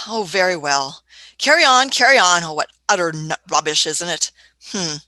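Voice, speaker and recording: A woman's voice: on the low side, moderate pace, sharp tone, no echo. A woman in a radio play acting an eccentric, imperious older time traveller who is fussy, hesitant and repeats her phrases. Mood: fussy, indignant.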